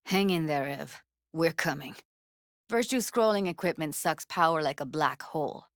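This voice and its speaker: A woman's voice: deep voice, animated, moderate pace, no room sound, studio quality. A woman voicing a streetwise, guarded young techie in a video game, blunt and slangy, with an American accent. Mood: worried, tender. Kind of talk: naturalistic video game dialogue.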